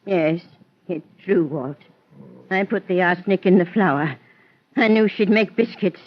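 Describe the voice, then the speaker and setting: An actress playing a bitter, betrayed wife confessing in halting, impassioned old-time radio melodrama. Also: female voice, on the low side. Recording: muffled old radio recording.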